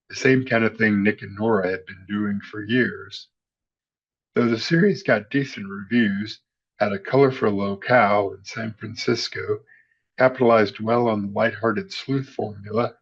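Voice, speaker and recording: A man hosts with scripted, factual narration. His voice is somewhat low and rough-edged, on a slightly muffled recording. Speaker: podcast host. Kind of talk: scripted narration, reading encyclopedia text.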